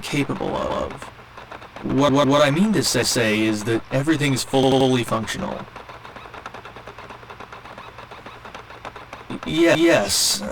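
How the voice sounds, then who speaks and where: A man's voice: mid-range voice, a bit bright, a little hiss or hum, low-quality recording. A man voicing a polite, earnest robot boyfriend in an ASMR roleplay, speaking in formal, precise and naively literal language. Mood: flustered, glitching. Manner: formal, precise, careful wording with occasional glitchy stutters.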